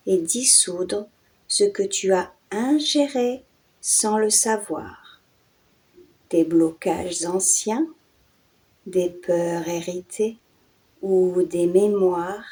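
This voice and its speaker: A woman guiding a yoga nidra and hypnosis meditation in a soothing, hushed way, in short phrases with breathy endings and long pauses. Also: mid-range voice, poor audio. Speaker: meditation guide and channel host.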